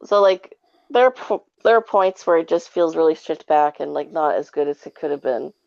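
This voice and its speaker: A woman's voice: sits low, animated, moderate pace, creaky, darkish tone, dry recording, muffled recording. A woman giving her take in a casual, rambling review chat with an informal way of talking. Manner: rambling, informal, frequent 'like'. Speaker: podcast guest reviewer.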